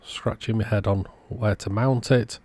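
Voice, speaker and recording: Male repair technician, lower-pitched, casual narrated commentary for a hands-on video. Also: fairly even intonation, a little slow, darkish tone.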